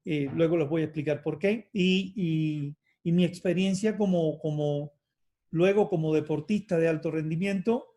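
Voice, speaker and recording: A man in his fifties gives a measured academic talk on his field. His voice sits a bit high yet sounds mellow and soft, and he pauses often, restarting phrases with frequent fillers. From Cuba. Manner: hesitant, many fillers and restarts; measured and reflective. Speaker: university lecturer in physical education.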